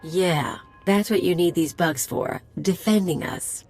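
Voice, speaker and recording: An actress playing a roguish mercenary sidekick in a video game, sardonic and casual. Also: female voice, on the low side, lively intonation, measured pace, darkish tone, husky, soft background music, full character voice.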